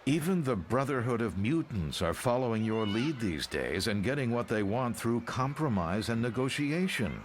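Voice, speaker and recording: A man's voice: mid-range voice, big pitch swings, moderate pace, hardly any pauses, slight background noise, natural voice. A man playing an earnest, loyal follower in a motion comic, polite but questioning. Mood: earnest, respectful. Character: earnest, loyal young man challenging his mentor.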